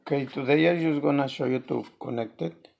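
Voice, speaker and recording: A man presenting an unscripted hands-on how-to, speaking haltingly and repeating words as he works, with noise and clicks behind him. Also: mid-range voice, dull audio. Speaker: DIY tutorial presenter. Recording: clear background noise with clicks.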